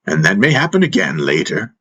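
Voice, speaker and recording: A wise, husky spiritual master played by a male narrator instructs his pupil in a fantasy audiobook. His low voice is patient and teasing, full of probing rhetorical questions. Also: very animated, a little fast, a bit bright, highs cut off, full character voice. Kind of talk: audiobook character dialogue.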